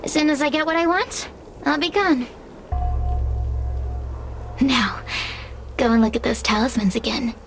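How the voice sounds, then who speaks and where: A woman voicing a sly, seductive possessing spirit in a video game, teasing and quietly menacing. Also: high voice, expressive, vocal fry, faint music behind, full character voice. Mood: smug. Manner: silky, teasing, deliberate.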